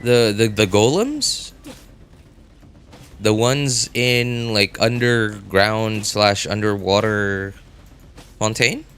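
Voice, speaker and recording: A man with a fairly low voice talks casually on a game livestream. He thinks aloud, stopping and starting, and circles back to repeat what he has said. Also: very slow, pauses often, fairly bright tone.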